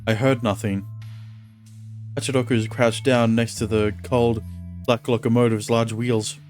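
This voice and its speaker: A male streamer who reads visual novel narration aloud in a steady tone and chats casually between lines. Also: mid-range voice, a little slow, faint music behind.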